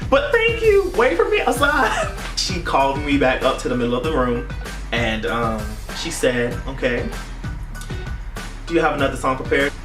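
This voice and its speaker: A young American man telling a story in casual vlog chat, animated and acting out the dialogue as he goes. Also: medium pitch, normal speed. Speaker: vlogger. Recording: roomy echo, distant mic, faint music.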